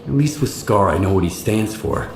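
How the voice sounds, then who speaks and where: An actor playing a guarded, determined wanderer hero in a video game, blunt and questioning. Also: male voice, lowish voice, animated, moderate pace, gravelly, reverberant, slight background noise. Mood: defiant, guarded. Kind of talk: video game cutscene acting.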